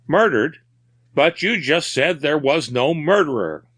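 A man's voice: on the high side, expressive, measured pace, fairly bright tone, voice pushed up. A man voicing a baffled, insistent man in a story who questions a witness in a pleading, exasperated manner.

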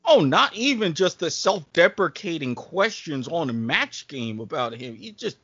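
Male podcast co-host, animated swooping pitch, relaxed pace, dry muffled audio. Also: a little slow, husky, no room sound. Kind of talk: casual panel chat. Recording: dry, dull lo-fi recording.

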